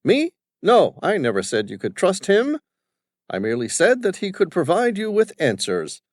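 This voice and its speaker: A man's voice: sits high, huge pitch swings, normal speed, a little mellow, no echo, studio quality, pitched above the performer's own voice. A man voicing an arrogant, condescending wealthy older businessman who treats everyone as hired help. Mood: pompous. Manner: pompous, condescending.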